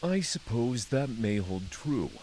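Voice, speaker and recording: A man playing a solemn, courteous dragon lord in a fantasy audio drama, speaking in formal, measured phrases. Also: mid-range voice, big pitch swings, a little mellow, slight background noise, rough recording. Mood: reflective. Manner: formal, measured, thoughtful diction.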